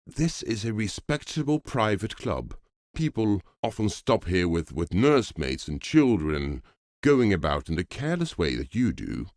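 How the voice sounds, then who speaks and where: Man voicing firm gentleman, superior, admonishing, clubman phrasing, animated intonation, dry sound, audiobook dialogue. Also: low-pitched, no echo, pitched above the performer's own voice.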